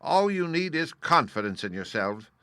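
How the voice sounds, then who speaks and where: A man's voice: a little high, sing-song, moderate pace, pushed and bright, smooth, no room sound, pitched above the performer's own voice. A man reading aloud and voicing a meek, apologetic humbug wizard who admits he is no magician. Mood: confiding.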